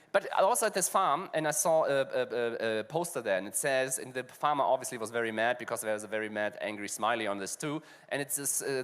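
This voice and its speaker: A man's voice: medium pitch, fairly bright tone. A man with a German accent, co-hosting a conversation on a conference stage, talking loosely with lots of "you know" fillers. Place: large conference hall stage. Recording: long reverb of a large hall.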